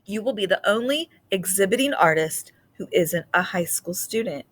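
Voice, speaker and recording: Storybook art teacher, played by a woman reader: female, gentle, sincere, full of encouragement for a pupil. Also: mid-range voice, lively intonation, moderate pace. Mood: admiring. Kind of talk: storybook read-aloud dialogue.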